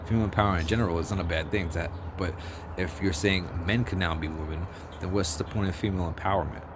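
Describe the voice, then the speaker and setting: Deep-voiced man ranting outdoors. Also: steady tone, fast. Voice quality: deep bass voice. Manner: rambling, blunt and profane, with many restarts. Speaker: vlogger. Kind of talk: casual opinion monologue. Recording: outdoor street noise with passing vehicles.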